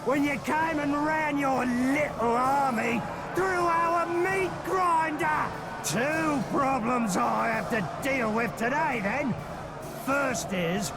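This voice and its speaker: An actor playing a sardonic, bloodthirsty orc fortress boss with a mocking, swaggering, shouty delivery in a fantasy game. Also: male voice, very high-pitched, full character voice. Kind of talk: fantasy game villain acting. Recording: loud music behind. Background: loud music.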